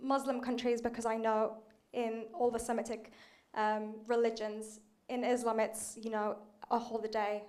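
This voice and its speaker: A woman's voice: fairly high pitch, level tone, moderate pace, a little mellow. A young woman from Turkey, a researcher in the audience, asking a chatty question with frequent "um"s.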